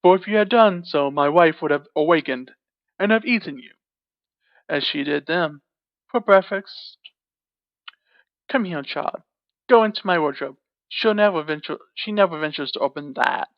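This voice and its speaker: A woman reading a storybook aloud and voicing a gruff, bossy male giant who talks slowly and menacingly in a low, loud voice. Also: dry recording. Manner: booming, slow and menacing. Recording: dull, dry lo-fi recording.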